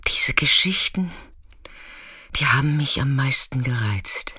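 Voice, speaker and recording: A man acting in a radio play as a weathered, fearless narrator, speaking in an understated, intimate way with hushed moments. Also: medium pitch, animated, slow, slightly rough, no room sound, studio quality, exaggerated voice. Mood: matter-of-fact, confiding.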